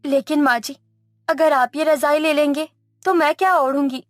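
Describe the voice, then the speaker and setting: A woman's voice: sits high, fairly even intonation, moderate pace, dry recording, studio quality, pitched above the performer's own voice, natural voice. A woman voicing a meek, suffering young daughter-in-law who speaks plaintively in a Hindi cartoon story. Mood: pleading. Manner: pleading, plaintive.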